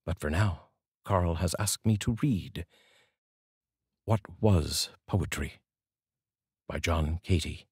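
A middle-aged man with a husky voice, speaking in measured, deliberate phrases like a poetry reader, close to a studio microphone. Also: low-pitched, a little mellow, dry recording. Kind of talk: poetry reading with casual introduction. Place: small dark indoor studio. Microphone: large studio microphone, close.

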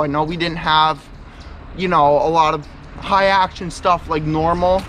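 A man's voice: fairly high pitch, a bit bright, a little hiss or hum. Young New man, rambling vlog talk. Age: young adult. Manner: rambling, filler-heavy, earnest. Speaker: vlogger. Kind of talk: casual vlog talk to camera. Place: kitchen indoors, then outdoors on railroad tracks in woods.

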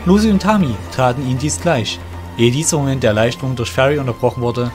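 A man narrates a fantasy audio drama from a script. His voice sits at a medium pitch. Music is clearly heard behind him as he tells the story. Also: fast talker.

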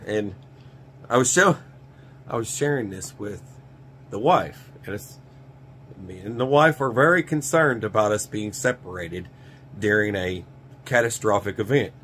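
A man's voice: fairly low pitch, lively intonation, measured pace, pauses often, a bit bright. Folksy American man over noisy background hum. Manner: folksy, rambling, repeats phrases for emphasis. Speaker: survival channel host. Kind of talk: casual product review to camera. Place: small cluttered indoor gear workshop. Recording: clear background noise with hum.

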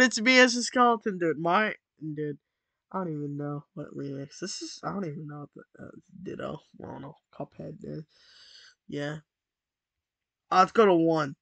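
A man's voice: a little high, vocal fry, no room sound. A boy in his early teens rambling casually through commentary over a screen recording, full of "like" and "dude". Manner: rambling, distracted, lots of "like" and "dude". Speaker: channel host. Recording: loud background music.

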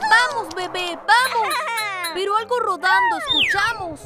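Excitable, squeaky-high little lion cub. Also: female voice, measured pace, heavily acted. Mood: excited. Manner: exclamatory, singsong. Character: excitable young lion cub narrating adventures in rhyme. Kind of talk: children's cartoon dubbing, singsong rhymed lines. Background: music.

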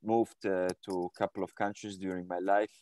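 A man's voice: low-pitched, flat delivery, normal speed, darkish tone, dry recording. A man in his thirties from Croatia, answering interview questions on a video call from a room at home, hesitant with many fillers and restarts. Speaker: guest.

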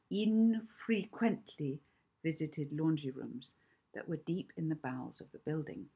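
A middle-aged woman narrates a children's book. Her voice is fairly low, with a husky, breathy edge. She reads expressively and deliberately, leaving pauses between phrases. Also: darkish tone. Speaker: author reading own book.

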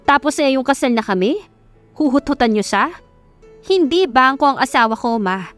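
A dutiful young wife, voiced by a woman narrator in an audio love story. She sounds earnest and wounded, with an apologetic manner and a fairly high, expressive voice. Soft music runs behind her. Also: moderate pace. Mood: pleading, firm. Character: gentle, hurt young wife, dutiful daughter.

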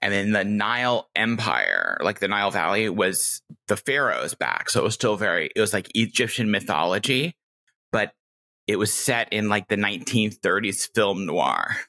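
A woman's voice: extremely low pitch, big pitch swings, moderate pace, a bit bright. A middle-aged woman chatting casually and enthusiastically as a podcast guest, telling anecdotes. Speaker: podcast guest, game master.

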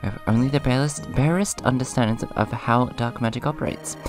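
A man hosts a casual let's play in a hoarse, subdued voice at an average pitch. He moves between rambling comments and reading on-screen dialogue. Music plays clearly behind him. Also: fairly quick. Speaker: gaming video host. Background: video game music.

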